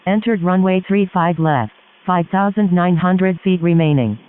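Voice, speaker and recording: An automated male voice makes short runway announcements. It is high and clean-edged, and sounds thin and phone-like through the cockpit audio. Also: moderate pace, clear, resonant tone.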